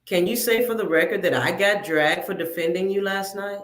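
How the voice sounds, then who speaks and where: A woman calling into a livestream over a video call, asking pointed questions in a deliberate way. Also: medium pitch, echoey room, a little hiss or hum. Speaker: livestream guest. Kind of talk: casual livestream talk.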